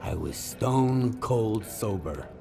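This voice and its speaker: An actor playing a sober, hardened wasteland scavenger in a video game, terse and matter-of-fact. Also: male voice, fairly low pitch, expressive, takes it slowly, vocal fry, over music, heavily acted. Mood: guarded, serious. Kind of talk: understated video game dialogue.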